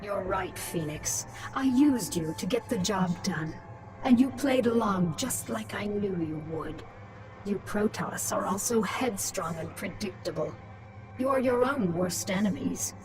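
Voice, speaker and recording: A woman's voice: medium pitch, animated, unhurried, close to an everyday voice. Woman voicing ruthless alien queen, game villain, mocking, commanding, slightly distant sound, music underneath. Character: ruthless, scheming alien swarm queen, once human, cold and gloating. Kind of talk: dramatic video game voice acting.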